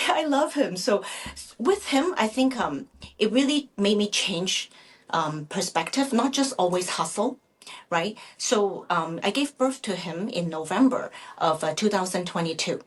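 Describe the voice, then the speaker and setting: A middle-aged woman, a native Chinese speaker raised in Canada, answering interview questions conversationally in a halting, self-correcting way. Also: mid-range voice, animated, breathy edge. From Vancouver, Canada. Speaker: real estate agent guest.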